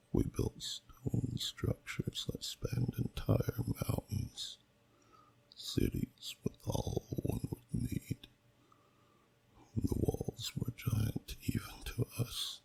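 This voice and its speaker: A man voicing an ancient, solemn dragon who slowly tells a story in a deep, gravelly, breathy half-whisper, in ASMR style. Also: a little mellow, full character voice. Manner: slow, solemn, deliberate, archaic phrasing. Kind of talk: ASMR storytelling, scripted narration.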